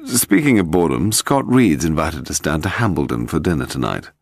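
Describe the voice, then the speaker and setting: A gentleman detective in an audiobook mystery, acted by a male reader. He is calm and cheerful, explaining with wry ease. The melody is lively and the sound close and dry. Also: very deep voice, brisk, vocal fry, dry recording, heavily acted.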